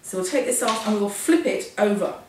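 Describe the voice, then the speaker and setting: A woman with a hoarse voice, getting over a cold, teaching a hands-on sewing lesson in a chatty, self-deprecating, step-by-step way. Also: medium pitch, fairly quick, distant mic. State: hoarse, losing her voice from a cold. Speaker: sewing instructor. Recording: echoey room, off-mic, faint noise.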